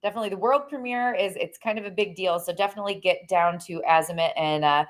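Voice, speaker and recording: A woman's voice: on the low side, quick pace. A woman co-hosting a casual boating broadcast, bubbly and chatty, with lots of "like.". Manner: bubbly, chatty, uses "like" and "y'all". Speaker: co-host. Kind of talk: casual promotional chat.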